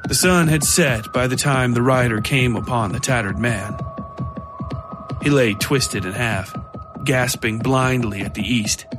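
A man's voice: medium pitch, unhurried. Male horror narrator over music. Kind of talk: dramatic scripted horror fiction narration. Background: music bed.

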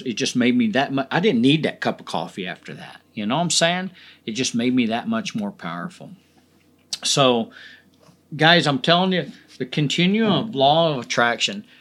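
A man's voice: medium pitch, a bit bright. A middle-aged man hosting his own podcast, talking casually with a lot of swearing, restarts and emphatic repetition. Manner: profane, rambling, emphatic with many restarts. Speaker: podcast host. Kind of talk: casual solo podcast monologue.

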